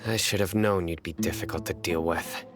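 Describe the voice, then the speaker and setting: An actor playing a stern, unyielding enforcer in a video game, speaking formally and deliberately with an air of threat. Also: male voice, low voice, steady tone, natural voice. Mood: curt demand. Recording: game music behind the voice.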